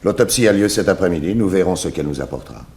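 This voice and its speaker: An actor in a 1960s radio serial playing a confident, methodical private detective who explains things with assurance. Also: male voice, sits low, lively intonation, rapid-fire, darkish tone. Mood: assured, explaining. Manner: assured, explanatory, crisp deductions.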